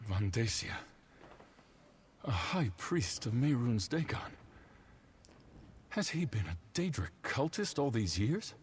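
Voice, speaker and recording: An actor playing a weary, shaken councillor in a video game, speaking in a grave, measured, formal way with a husky voice. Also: male voice, mid-range voice, sing-song, a little hiss or hum. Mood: grieving, stunned. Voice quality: husky, rough voice. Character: weary, shaken male councillor betrayed by a colleague. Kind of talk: video game character dialogue.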